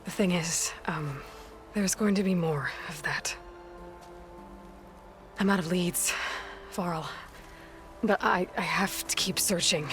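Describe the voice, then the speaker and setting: A woman playing a determined, burdened young hunter heroine in a video game, earnest and halting. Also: lowish voice. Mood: burdened, resolute. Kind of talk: dramatic video game acting. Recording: music behind the voice.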